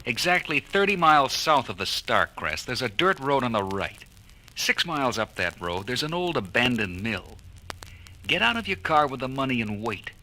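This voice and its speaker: A cold, menacing kidnapper from a seventies adventure record. His voice is sharp and forceful, with an animated swing in pitch and a clipped, commanding manner. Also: moderate pace, rough recording. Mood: cold, threatening. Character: curt, threatening male kidnapper on a ransom call. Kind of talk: 1970s children's record audio drama acting.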